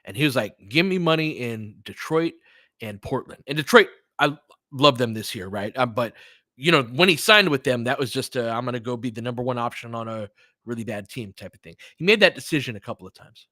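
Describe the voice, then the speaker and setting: A man with a bright, forceful voice hosts casual live sports commentary. He talks conversationally, as if straight to his listeners, moving between play-by-play and analysis. The sound is close and dry. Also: mid-range voice, expressive, fairly quick, dry recording. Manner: conversational, chatting back to listeners, mildly profane.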